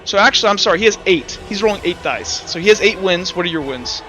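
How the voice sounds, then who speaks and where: A man acting as game master, narrating and explaining rules in a halting, self-correcting way. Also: sits high, lively intonation, bright, forceful tone, faint music behind, poor audio. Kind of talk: improvised tabletop game narration and rules talk.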